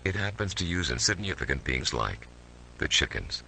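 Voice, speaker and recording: A man's voice: sits low, sing-song, normal speed, creaky, bright, forceful tone, slight background noise, full character voice. A man voicing a detached military scientist, reading a formal, stilted analog horror narration. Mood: clinical, detached. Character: male military scientist coldly recounting his mutant experiments.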